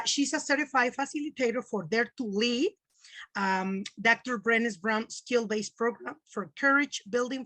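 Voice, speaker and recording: Woman, podcast host, friendly, hesitant, higher voice, dry close sound. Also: fairly bright tone, dry recording. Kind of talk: interview hosting.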